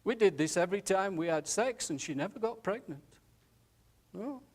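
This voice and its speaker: A man voicing an elderly widowed nursing home resident who talks slowly, haltingly and tenderly, often saying "aye". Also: high-pitched, lively intonation, pitched above the performer's own voice. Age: older. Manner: slow, halting, chuckling, says "aye" often.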